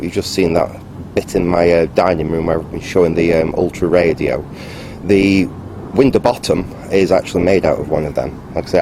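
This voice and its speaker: A man giving a casual, unscripted, matter-of-fact video tour in a halting, rambling way. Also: deep voice, noisy background. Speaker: vlogger, hobbyist restorer.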